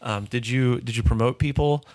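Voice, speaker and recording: A man's voice: medium pitch, normal speed. A male podcast host interviewing a guest in a studio, who laughs often and asks questions with short affirming prompts. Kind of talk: conversational interviewing. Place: dark studio set. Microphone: studio boom mic.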